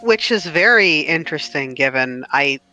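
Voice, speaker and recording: A woman's voice: deep voice, lively intonation, normal speed, pushed and bright, soft background music, low-quality recording. A woman talking casually and informally on a livestream with friends.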